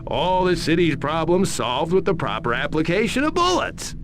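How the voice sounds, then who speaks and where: An actor playing a sarcastic, flippant, slightly dim interrogator in a video game cutscene. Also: male voice, fairly high pitch, sing-song, normal speed, background noise.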